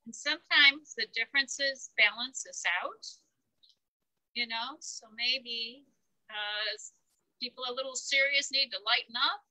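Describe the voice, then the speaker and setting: A woman's voice: high-pitched, unhurried, bright, forceful tone, low-quality recording. A woman talking through a children's book, thinking aloud and searching for words as she reflects. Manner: thoughtful, searching for words, restarts. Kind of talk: casual chat about a picture book.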